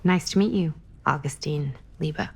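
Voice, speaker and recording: A woman's voice: deep voice, moderate pace, gravelly, breathy edge, full character voice. An actress playing a polite, hesitant, plainspoken young woman in a cinematic video game. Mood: wary, polite. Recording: music under the voice.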